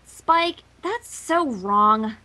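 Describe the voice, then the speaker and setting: A woman's voice: on the high side, expressive, very slow, bright, forceful tone, full character voice, low-quality recording. A woman voicing an earnest young female cartoon unicorn, reproving yet apologetic, in a story reading.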